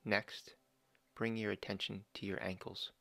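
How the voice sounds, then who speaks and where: A man slowly and calmly guiding a body scan meditation, giving gentle step-by-step instructions with long pauses. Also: fairly low pitch, vocal fry, no room sound. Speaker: meditation guide.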